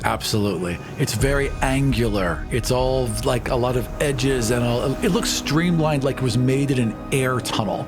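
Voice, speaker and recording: A man's voice: mid-range voice, moderate pace, few pauses. A man narrating as game master of a tabletop role-playing game, describing scenes and prompting the players. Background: background music.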